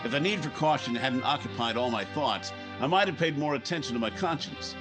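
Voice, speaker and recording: An actor playing a troubled, ambitious newspaper editor, narrating in a hardboiled, brooding old-time radio drama style. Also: male voice, medium pitch, a little fast, music in the background, natural voice. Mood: brooding, confessional.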